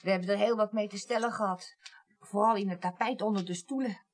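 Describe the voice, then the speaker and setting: A woman's voice: mid-range voice, fairly even intonation, brisk, lightly acted. An actress playing a humble, chatty cleaning woman, deferential and homely, in a Dutch radio drama.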